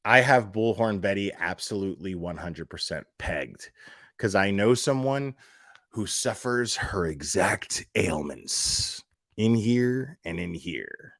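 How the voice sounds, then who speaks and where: A man's voice: fairly low pitch, a bit bright, no echo, studio quality. A man speaking casually and off the cuff like a live streamer on a rant, blunt and profane, repeating phrases for emphasis. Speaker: livestream commentator.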